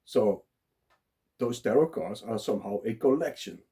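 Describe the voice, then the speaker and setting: A man with a Dutch accent, talking live and unprepared as a blogger, pausing often with filler sounds. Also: on the low side, lively intonation, warm tone. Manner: halting, many filler sounds. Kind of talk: unscripted solo talk.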